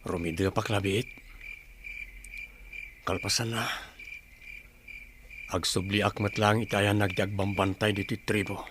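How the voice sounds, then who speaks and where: Man acting brave young Filipino tribesman, dutiful, slightly low voice, radio drama, clear noise and clicks. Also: level tone, unhurried, pauses often, lightly acted. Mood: quiet, reflective.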